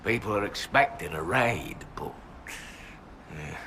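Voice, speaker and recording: Proud fantasy clansman, low forceful voice, terse archaic phrasing, wary, background noise. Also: animated, measured pace, heavily acted. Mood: wary, blunt. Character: proud, wary male hill-tribe warrior, plain-spoken and superstitious. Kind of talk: fantasy video game acting.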